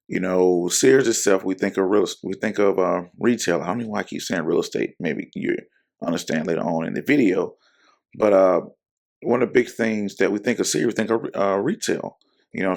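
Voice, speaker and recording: A hoarse man with a low, gravelly voice talks casually to his viewers about stocks. He rambles, fills gaps with ums and uhs, and corrects himself as he goes. His throat sounds scratchy throughout. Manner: rambling, full of fillers and self-corrections. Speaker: investing channel host. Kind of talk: casual talk to camera.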